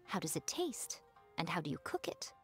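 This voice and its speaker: A woman voicing a sly, elegant fox-spirit woman who runs a publishing house, with a smooth, teasing, self-assured manner. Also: sits low, sing-song, moderate pace, soft background music. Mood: poised. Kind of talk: video game voice acting.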